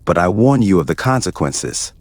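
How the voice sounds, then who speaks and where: An actor playing a grave, fearful old sergeant major in an audio story, speaking in an ominous, emphatic way. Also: male voice, sits low, sing-song, normal speed, no room sound. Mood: grave warning. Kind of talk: dramatised audio story acting.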